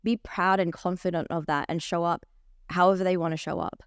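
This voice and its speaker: Open, animated woman. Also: on the low side, a little fast, smooth, dry recording, studio quality. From Australia. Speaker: life coach guest. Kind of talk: candid podcast interview.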